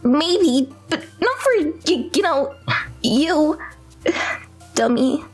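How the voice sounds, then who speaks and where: Woman as a proud, huffy schoolgirl, high voice, slow delivery, stammering. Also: animated, heavily acted. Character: prickly, proud teenage schoolgirl baker. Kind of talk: fan dub of a visual novel. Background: faint music.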